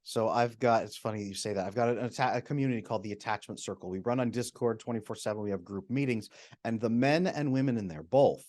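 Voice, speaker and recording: A man, a retired psychotherapist, speaking as a podcast guest and explaining at length, often acting out quoted people with sudden jumps up in pitch. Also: quick pace, a little mellow. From America. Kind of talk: animated explanatory interview talk.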